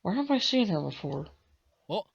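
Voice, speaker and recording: A woman reading a visual novel aloud as a let's play, with a halting delivery that corrects itself. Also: mid-range voice, very animated, vocal fry, slightly rough. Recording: dull lo-fi mic with music behind. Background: game music.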